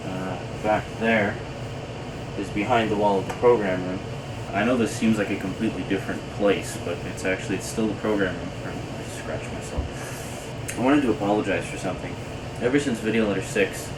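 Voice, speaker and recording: Man with a deep voice and a cold, talking loosely to his home camcorder, heavy tape hiss under him. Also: steady tone, moderate pace. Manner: rambling, lots of uh and restarts. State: coming down with a cold. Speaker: video letter host. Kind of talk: casual rambling chat to camera. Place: indoor home program room. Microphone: camcorder mic. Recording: noisy early-90s camcorder tape.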